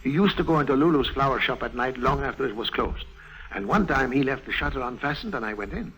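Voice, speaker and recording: An actor playing a pompous, jealous, gullible middle-aged bank official in a theatrical 1950s radio drama style. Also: male voice, medium pitch, fast talker, breathy edge, heavily acted, low-quality recording. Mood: confiding. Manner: theatrical, fussy, self-important, excitable. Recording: old 1950s radio broadcast recording.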